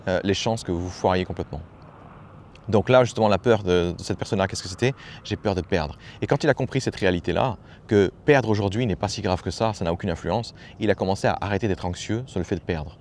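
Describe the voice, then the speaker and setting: A man's voice: fairly low pitch, a little mellow, a little hiss or hum. A man giving a casual motivational talk as a personal development coach, familiar and slangy, hammering points home by repeating phrases.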